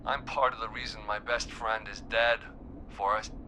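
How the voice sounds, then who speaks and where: An actor playing a wounded, guilt-ridden small-town man in a video game, weary and halting as he confesses over a call. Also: lowish voice, little pitch movement, bright, forceful tone, a little hiss or hum. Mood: grieving, guilty. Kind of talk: dramatic video game voice acting.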